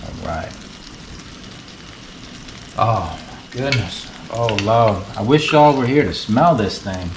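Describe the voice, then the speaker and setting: A man from the United States hosting a relaxed, chatty cooking tutorial in his kitchen. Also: on the low side, slow pace, pauses often, very noisy. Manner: relaxed, chatty, fond of saying beautiful. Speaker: cooking channel host. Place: kitchen with food frying on the stove. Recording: roomy sound, mic set at a distance, sizzling pan.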